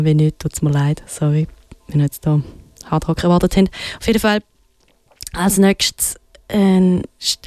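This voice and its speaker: A woman with a Swiss German accent presents music on the radio in an informal, easygoing manner. Her voice sits on the low side, with a warm, relaxed tone that sounds a little tired. The sound is dry, with hardly any room around it. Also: no room sound. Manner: informal, laid-back. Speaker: radio show host.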